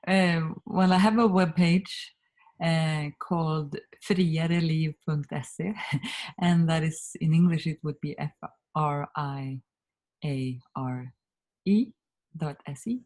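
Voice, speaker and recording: A woman in her fifties with a Swedish accent, a Swedish native speaker, talking earnestly and reflectively as an interview guest over a video call, laughing often and letting her sentences trail off softly. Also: sits low, takes it slowly. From Sweden. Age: 50s. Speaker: guest, communication trainer.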